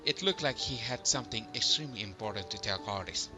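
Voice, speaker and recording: A man's voice: lowish voice, animated, fast talker. A man reading scripted story narration for an amateur game fandub. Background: loud background music.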